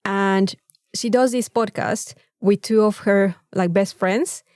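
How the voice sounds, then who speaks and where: A woman's voice: medium pitch, steady tone, slow pace, darkish tone, no room sound, clean studio sound. A woman with a Mexican accent, co-hosting a casual podcast chat in an informal, rambling, sweary way. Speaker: podcast co-host.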